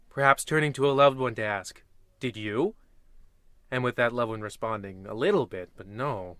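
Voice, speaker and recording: A man's voice: medium pitch, bright, forceful tone, clear, resonant tone, no echo, clean studio sound, natural voice. A man playing the droll host of an old-time radio mystery series, addressing listeners with tongue-in-cheek theatricality.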